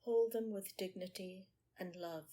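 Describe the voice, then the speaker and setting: A woman meditation teacher leading a guided meditation in short, measured phrases with pauses between them. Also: mid-range voice, warm tone.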